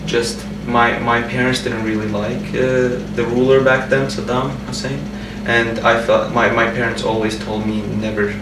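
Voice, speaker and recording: A man's voice: mid-range voice, flat delivery, moderate pace, few pauses. A man in his twenties from Jordan, speaking in a personal interview in a hesitant way with many restarts and fillers. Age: 20s. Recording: roomy sound from a distant mic with background hum.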